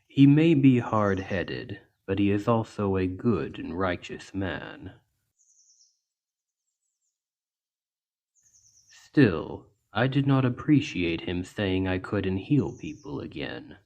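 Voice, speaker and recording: A big orc healer acted out by a man speaks in a deep voice at a slow, measured pace. He is gentle and plain-spoken, with an earnest, reassuring manner. The delivery is that of a soothing fantasy ASMR roleplay, told to someone in his care. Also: expressive, gravelly, muffled recording, exaggerated voice. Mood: wistful, calm. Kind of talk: ASMR roleplay, soft storytelling to an injured listener.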